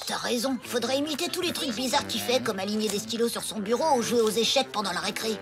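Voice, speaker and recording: A woman's voice: on the high side, animated, fast, over music. A woman voicing a naive, eager young schoolboy sidekick in a French cartoon, earnest and childlike. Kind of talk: French animated cartoon acting.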